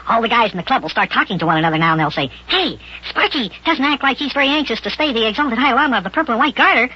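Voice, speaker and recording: A man voicing an eager, mischievous, boastful little boy in a children's radio serial, with a high, raspy, sped-up voice. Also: animated, racing, exaggerated voice. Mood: eager, scheming. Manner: chattering, boastful, plays at being important. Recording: dull lo-fi old radio recording.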